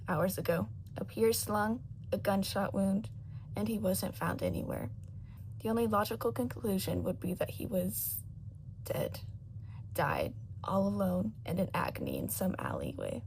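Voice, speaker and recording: A woman reading a story as a narrator, in an expressive, dramatic scripted style. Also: mid-range voice, vocal fry, a little hiss or hum, low-quality recording. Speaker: audiobook narrator of fan fiction.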